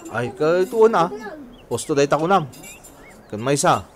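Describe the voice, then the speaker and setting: A man's voice: a little high, very animated, a little slow. A man playing a friendly, encouraging village friend in a radio comedy drama, with a Filipino accent. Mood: friendly, teasing. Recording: prominent music under dialogue.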